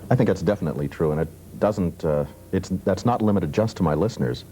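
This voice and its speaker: A man's voice: sits low, quick pace, warm tone. An American man, a radio newsman, talking conversationally as a panel guest. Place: TV studio. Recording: noisy 1970s TV studio tape.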